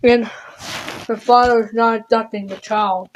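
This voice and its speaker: A woman reading out an improvised mock emergency alert in a halting, deliberate way, with stumbles. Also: a little high, steady tone, muffled recording, slight background noise.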